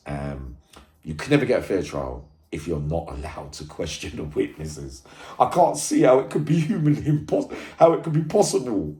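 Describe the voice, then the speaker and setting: Man, extremely deep voice, informal commentary, halting, repetitive, emphatic, roomy echo. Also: dark tone. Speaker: true crime video host and commentator. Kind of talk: informal spoken commentary to viewers. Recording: echoey room sound.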